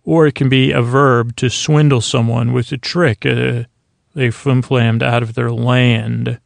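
A man hosting a bedtime podcast, talking in a meandering, halting monologue that circles back on itself, with a creaky voice. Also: medium pitch, little pitch movement, dry recording. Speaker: podcast host. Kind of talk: rambling bedtime-story podcast intro monologue.

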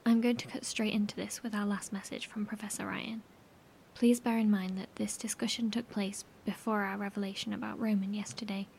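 Woman playing tired bunker leader on a broadcast, breathy n hushed, mellow, faint rumble behind. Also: close to an everyday voice. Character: weary, sombre female leader of bunker survivors. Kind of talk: horror audio drama broadcast.

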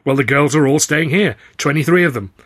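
Man acting a jaunty, cheeky young Englishman, slightly husky. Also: fairly high pitch, brisk, a bit bright, dry recording, pitched above the performer's own voice. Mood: gleeful, excited. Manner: chummy, jaunty, old-fashioned slangy patter. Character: cheerful, mischievous young Englishman, cheeky pal.

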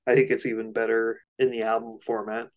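A man's voice: medium pitch, level tone, fairly quick. A man co-hosting a podcast, explaining things informally in loosely phrased, casual discussion. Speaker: podcast co-host.

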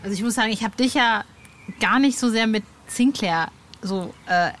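A woman's voice: a little high, normal speed, a bit bright, slight background noise. A woman hosting a casual podcast interview, talking informally and enthusiastically like a fan with youthful slang.

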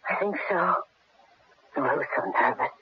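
A woman's voice: on the low side, lively intonation, normal speed, over music, lightly acted. A woman playing an anxious, distraught mother, speaking nervously in a radio drama. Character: anxious, distraught young mother of a kidnapped baby. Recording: muffled 1970s radio broadcast.

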